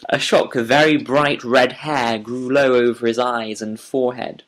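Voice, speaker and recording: A man narrating a scripted audiobook as a storyteller, deliberate and literary in delivery. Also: medium pitch, steady tone, fairly bright tone, rough recording.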